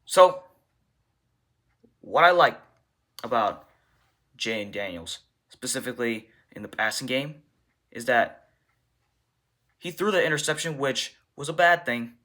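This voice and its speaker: A man speaking as a football coach at a press conference, answering questions in deliberate, halting short bursts. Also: medium pitch, animated, pushed and bright.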